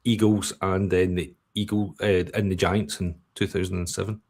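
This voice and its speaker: A man's voice: low voice, level tone, normal speed, background noise. A Scottish man in his forties hosting a casual sports chat, asking long, rambling questions. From Scotland. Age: 40s. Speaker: podcast host.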